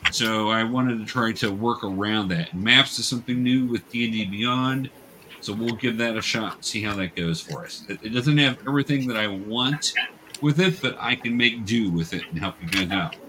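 A man's voice: on the low side, moderate pace. A man runs a tabletop game in a casual, instructive manner. He narrates scenes and patiently guides new players with encouragement. The recording carries light background noise. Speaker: game master teaching young players.

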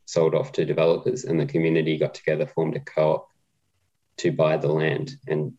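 Aussie guy with a super low flat voice, lots of ums, sounds a bit muffled and lo-fi. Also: a little fast. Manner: relaxed, hesitant with many ums. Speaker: guest, co-op policy adviser. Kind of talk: casual interview chat.

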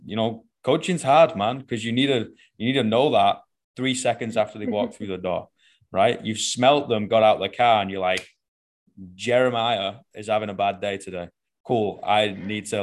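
A man with a Northern English accent, a fitness coach, talking bluntly and swearing often, ending his points with "right?" and "man". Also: fairly low pitch. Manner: blunt and profane, says "right?" and "man" after almost every point. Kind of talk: casual podcast chat.

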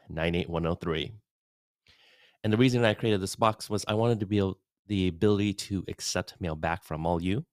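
A man's voice: low-pitched, dry recording. A man hosting his own podcast, recording a casual, rambling, upbeat update alone at his desk on a studio mic in a home office. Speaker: podcast host giving an update. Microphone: studio dynamic mic at desk.

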